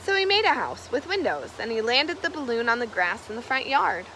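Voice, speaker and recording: A woman reads a children's picture book aloud in a high, bright voice. Her delivery is expressive and very animated, with key words stressed as the story is told. There is some faint noise behind her. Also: fairly quick, poor audio. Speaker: storybook reader. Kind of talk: children's read-aloud, scripted narration.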